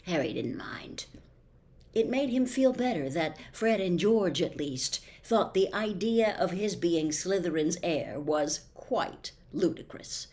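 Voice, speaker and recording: Lively, lower-pitched woman storyteller. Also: slow pace. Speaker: audiobook reader. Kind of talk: expressive storybook narration.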